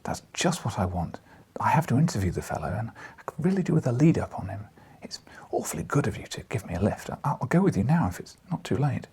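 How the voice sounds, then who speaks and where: A man's voice: medium pitch, very animated, quick pace, darkish tone, husky, in a whisper, heavily acted. A man voicing an eager, polite young newspaper reporter in an audiobook, earnest and a little hesitant. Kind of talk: audiobook character dialogue.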